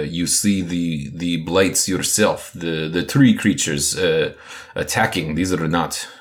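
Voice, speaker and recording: Guy playing a translator villager, broken english, deep slow voice, earnest and halting.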